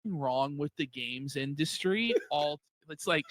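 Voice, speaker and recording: A man's voice: a little high, expressive, takes it slowly, dry recording. A man on a chat panel, talking in a sneering, mocking way. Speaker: commentator in clip.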